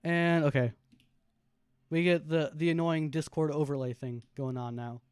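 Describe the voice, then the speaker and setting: A man with a very high voice giving casual, chatty live stream commentary as he plays a video game. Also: normal speed, smooth, no echo, clean studio sound. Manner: chatty, self-narrating while playing, easily animated.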